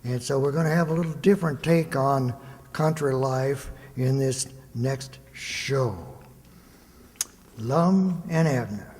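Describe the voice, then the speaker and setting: A man's voice: medium pitch, slow pace, darkish tone. A man hosting a live show, giving an informal, reminiscing introduction in a folksy way. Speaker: stage host introducing a show. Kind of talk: informal spoken introduction to an audience. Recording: reverberant hall, live stage.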